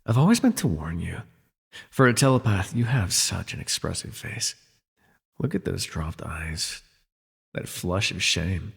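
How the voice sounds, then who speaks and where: A man playing a captured telepath supervillain, a sardonic and worldly former mentor, in an immersive audio roleplay, with a husky, gravelly voice and theatrical, wry delivery. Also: low voice, very animated, measured pace, exaggerated voice. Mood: smug, needling. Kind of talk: immersive ASMR roleplay voice acting. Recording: long reverb, faint music behind.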